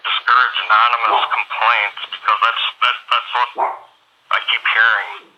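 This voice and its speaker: A man conducting an informal phone interview, asking questions in a halting, repetitive way. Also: medium pitch, level tone. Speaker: interviewer, community watch member. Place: telephone call. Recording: band-limited phone call with faint noise.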